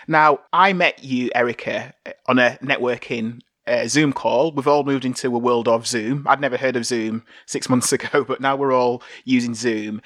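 A man hosting a podcast interview over a video call, enthusiastic and affirming, quick to laugh. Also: medium pitch, a bit bright, no echo, clean studio sound. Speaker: podcast host.

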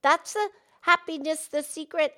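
A woman's voice: high-pitched, measured pace, pushed and bright. A woman voicing a cheerful, chatty female pig who hosts a puppet show, talking in bubbly, eager, rambling bursts. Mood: earnest.

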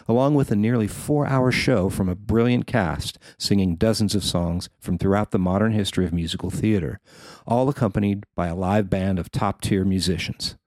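A man's voice: fairly low pitch, a little mellow, dry recording. A man reading his own written feature article aloud as scripted narration.

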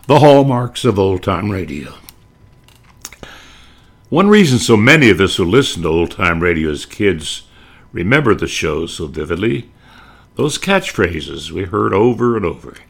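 Older male presenter: lowish pitch, very animated intonation, nostalgic, theatrical emphasis. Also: vocal fry. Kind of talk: nostalgic narrated talk on old radio.

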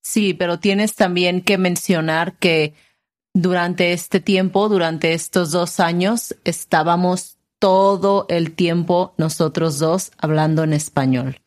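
This is a woman with a slightly low voice. She talks slowly in a casual conversational style, as an interviewer does. She explains things and often checks in with short tag questions. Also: steady tone.

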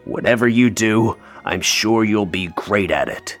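A male actor plays a North Pole elf worker, bitter and grieving, in an audio drama. His voice sits fairly low and carries both sarcasm and open hurt. Music plays behind him. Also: animated, measured pace, fairly bright tone. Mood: tender, moved. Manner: sarcastic, wounded, emotionally open. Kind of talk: audio play acting.

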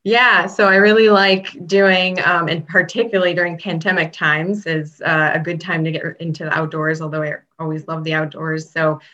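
A woman from Chicago talks as an interview guest, friendly and easygoing, with frequent ums and little laughs. Her voice sits low for a woman. The recording sounds dull and lo-fi. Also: little pitch movement, moderate pace, few pauses, fairly bright tone. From Chicago, Illinois. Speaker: guest, state public health veterinarian. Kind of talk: interview answers, casual.

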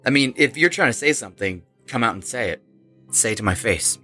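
Man acting a menacing, husky rock musician. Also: mid-range voice, animated, brisk, pushed and bright, soft background music. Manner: defensive, menacing. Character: hostile, evasive young male rock musician. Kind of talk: audio drama acting.

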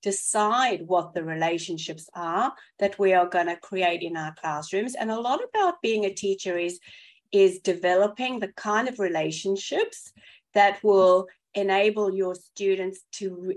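A woman's voice: mid-range voice, a little slow. A woman with a South African accent, co-hosting an education podcast in an enthusiastic, emphatic way. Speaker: podcast co-host. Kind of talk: casual chat.

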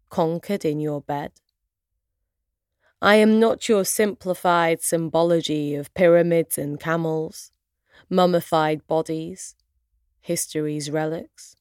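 A woman with a British accent and Arabic as her first language, reciting spoken word poetry in measured, deliberate phrases with pauses. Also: low voice, a little mellow, smooth, dry recording, clean studio sound.

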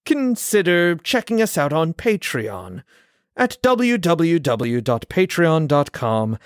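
A man's voice: on the high side, big pitch swings, slow, dry recording, studio quality. A man reading scripted credits as an announcer.

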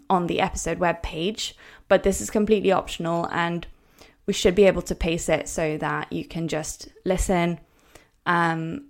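A woman whose first language is Norwegian, hosting a podcast language lesson and explaining step by step in a gentle, encouraging way. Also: fairly low pitch. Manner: slows down to spell out example words. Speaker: language coach and podcast host.

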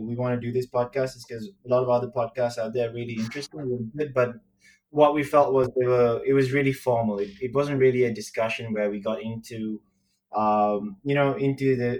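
A man from Sri Lanka co-hosting a casual podcast over a remote call, easygoing and quick to laugh. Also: mid-range voice, darkish tone, low-quality recording. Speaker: podcast co-host.

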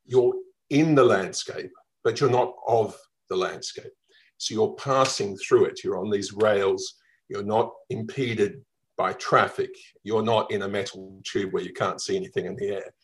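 A middle-aged man with a mild Melbourne accent speaks in a fairly low voice. He tells his stories in a reflective, lively way, circling back with ums and small restarts. It sounds like an easygoing radio interview guest. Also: a little slow, rough recording. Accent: Melbourne, Australian. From Australia. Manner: reflective, lively storytelling with fillers. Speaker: travel writer guest.

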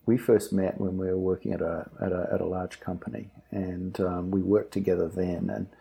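A man in his fifties from New Zealand has a very deep, flat, gravelly voice with a heavily breathy edge. He speaks haltingly, with fillers, and faint music runs underneath. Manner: hesitant, halting, many fillers. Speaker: company co-founder, guest. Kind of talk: interview conversation.

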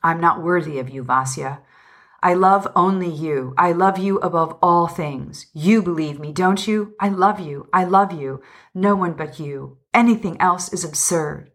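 A woman's voice: fairly low pitch, a little slow. A woman voicing an agitated, self-pitying husband in a storybook reading, pleading and vehement. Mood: grave, ardent.

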